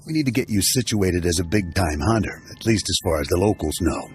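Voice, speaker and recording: A man playing a seasoned bounty hunter mentor who briefs in a steady, matter-of-fact way in video game dialogue. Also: sits low, a little fast, slight background noise. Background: faint game music.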